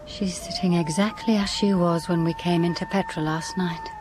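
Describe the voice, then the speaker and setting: An actress playing a cool, independent young doctor in a radio drama, direct and matter-of-fact, with noisy ambience behind. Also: female voice, lowish voice, steady tone, normal speed, natural voice. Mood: brisk, clinical.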